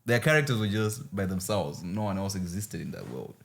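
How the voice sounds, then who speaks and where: A man's voice: brisk, creaky. A man hosting a podcast in casual chat, playful and teasing. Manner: playful, teasing, argues with animated pitch swings. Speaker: podcast host.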